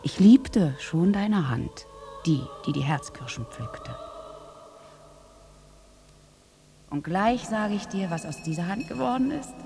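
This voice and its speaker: An actress playing a war-scarred, lovestruck young prostitute in a German radio drama monologue, speaking intimately and haltingly between breathy hush and intensity. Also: female voice, medium pitch, lively intonation, music in the background. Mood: tender, hushed.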